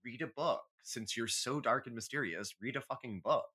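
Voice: medium pitch, normal speed, pushed and bright, slightly rough. A podcast co-host in casual chat, giving candid, emphatic, sweary opinions like a comic rant.

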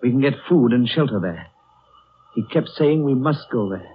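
Guy acting a calm british leader type, mid voice, terse orders, muffled hissy old radio drama. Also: medium pitch, normal speed, natural voice. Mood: grave, composed. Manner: composed, authoritative, terse. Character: calm, commanding British leader of stranded travellers. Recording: muffled, noisy 1930s radio recording.

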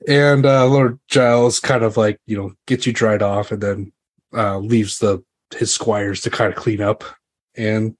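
A man's voice: lowish voice, fairly even intonation, measured pace. A man casually improvising narration as game master of a tabletop roleplaying game.

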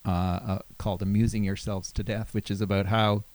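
A man who hosts a podcast and teaches law, talking casually in a monotone, dry and self-deprecating way. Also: lowish voice, a little fast, no echo. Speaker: podcast host and law professor.

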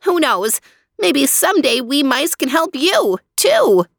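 A woman's voice: sits high, very animated, slow, gravelly, dry recording, studio quality, voice pushed up, heavily acted. A woman voicing a small, brave mouse who pleads earnestly with a king. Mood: pleading.

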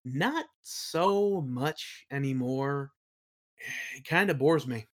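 A man's voice: medium pitch, lively intonation, very slow, a bit bright. Man thinking aloud in a casual interview. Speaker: interview guest, audio play creator.

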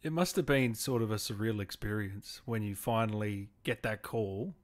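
A man's voice: fairly low pitch, moderate pace, crowd noise. A man hosting a casual interview, asking friendly, upbeat questions. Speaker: host.

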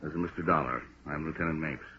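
A gruff, weary police lieutenant with a deep voice. He is blunt and plain-spoken, in old radio crime drama style. The sound is dull, with faint noise. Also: fast. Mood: businesslike. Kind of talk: 1950s radio drama acting.

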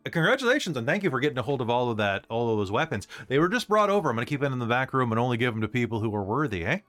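A man's voice: mid-range voice, very animated, very fast, fairly bright tone, soft background music. A man voicing a cheery, chatty woman shopkeeper with a Canadian accent who ends her lines with "eh". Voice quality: folksy, teasing, ends lines with "eh".

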